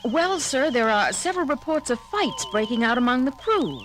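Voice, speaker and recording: An actress playing a poised female communications officer who reports in a crisp, dutiful way in a 1970s audio drama. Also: high voice, very animated, moderate pace, music in the background, low-quality recording. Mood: puzzled.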